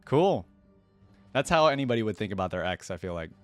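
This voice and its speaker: A man's voice: on the low side, sing-song, a little fast, soft background music. A man hosting a reaction video, chatting informally to viewers in casual commentary on a close mic. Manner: informal, conversational, addresses viewers. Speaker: host of a reaction channel.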